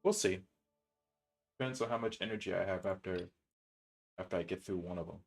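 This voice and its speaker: A man with a low voice streams a game and talks casually to his viewers. He rambles and thinks aloud in relaxed slang, with clear music playing behind him. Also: brisk. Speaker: game livestreamer. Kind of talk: casual livestream chat while playing. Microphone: streaming mic with noise suppression.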